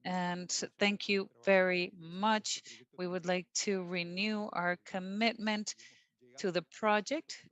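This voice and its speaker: Slow-paced woman interpreting live. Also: lowish voice, flat delivery, fairly bright tone, highs cut off. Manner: measured, with pauses and restarts. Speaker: simultaneous interpreter. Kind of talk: live interpretation of closing remarks.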